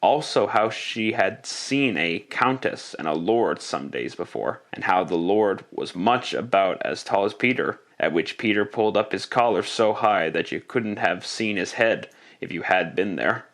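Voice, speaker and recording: Casting: male narrator for an old-style radio Christmas tale, lowish voice, storybook delivery. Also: lively intonation, measured pace, hardly any pauses, fairly bright tone. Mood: measured storytelling.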